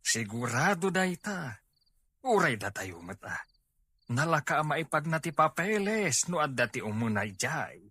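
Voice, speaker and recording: A man playing an eager, opinionated middle-aged father in an audio drama, with a raspy voice. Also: on the high side, big pitch swings, a little slow, sharp tone, dry recording, heavily acted. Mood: eager, curious. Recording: faint music under the voice.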